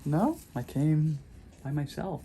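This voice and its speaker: A calm, focused podiatrist from Puerto Rico, quietly explaining as he treats a patient in his clinic. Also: fairly high pitch, slow. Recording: noisy clinic room.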